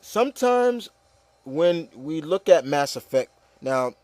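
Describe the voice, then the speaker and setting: Male panel host, fairly high-pitched, dry recording. Opinionated, emphatic, conversational, repeats points. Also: very animated, slow pace, a little mellow, dry recording. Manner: emphatic, repetitive, peppered with "you know". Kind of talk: casual opinionated panel discussion.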